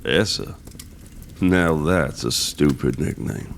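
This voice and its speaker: An actor playing a gruff, grumpy old mercenary mentor in an audio drama, teasing and gravelly, with a fatherly streak. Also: very deep voice, slow, a little hiss or hum, heavily acted. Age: older.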